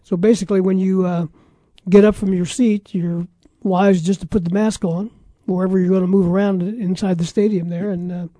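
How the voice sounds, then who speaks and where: Very dark, mellow-voiced man hosting radio. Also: high voice, level tone, normal speed, no echo. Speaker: sports talk radio host. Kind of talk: casual radio sports talk.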